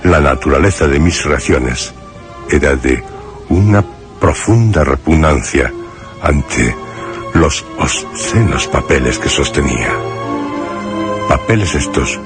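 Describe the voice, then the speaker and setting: A man from Spain, with a Spanish accent, playing a brooding, haunted narrator in a suspense radio drama, speaking solemnly and deliberately with dramatic pauses. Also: very deep voice, exaggerated voice. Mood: disturbed, grave. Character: brooding, haunted man recounting his horrifying memories. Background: music behind the voice.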